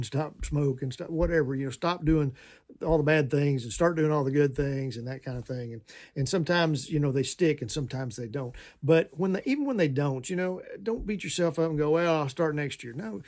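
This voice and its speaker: A man's voice: fairly high pitch, vocal fry, darkish tone. A man of fifty-nine talking casually to his viewers, rambling with lots of fillers and restarts. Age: 50s. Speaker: vlogger. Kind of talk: casual talk to camera.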